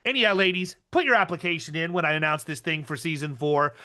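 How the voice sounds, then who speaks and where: A man hosting an insurance podcast, talking in an energetic, rambling way with frequent restarts. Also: on the high side, quick pace. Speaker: podcast host. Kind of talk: casual interview chat.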